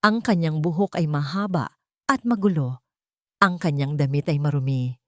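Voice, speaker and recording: Female storyteller, lower register, close dry recording, scripted dramatic narration. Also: moderate pace, dry recording.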